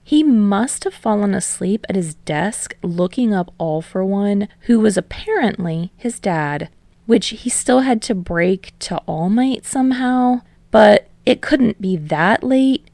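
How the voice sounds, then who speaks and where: A woman's voice: medium pitch, lively intonation, takes it slowly, creaky, darkish tone, no echo. A woman reading fiction aloud as scripted audiobook narration.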